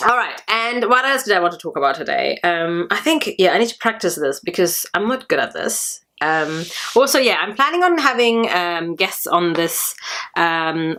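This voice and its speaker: A woman's voice: lowish voice, fairly bright tone. A woman raised in Germany whose first language is Tamil, hosting her own channel and talking casually in a rambling video diary with lots of fillers. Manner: rambling, chatty, many fillers. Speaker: channel host.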